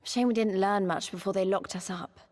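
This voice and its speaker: An actress playing a young female resistance fighter in a video game, passionate yet self-doubting, speaking in a heartfelt, halting, plain way. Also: medium pitch, fairly even intonation, a little mellow, in a large hall, close to an everyday voice. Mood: troubled, pensive. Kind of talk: cinematic video game voice acting.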